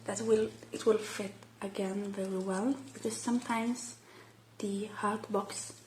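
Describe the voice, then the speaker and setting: A woman doing a soft ASMR roleplay, murmuring and whispering step by step in a hushed, hesitant way. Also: mid-range voice, steady tone, a little slow, roomy sound, slight background noise, rough recording. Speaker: ASMR roleplay creator playing a robot repair technician.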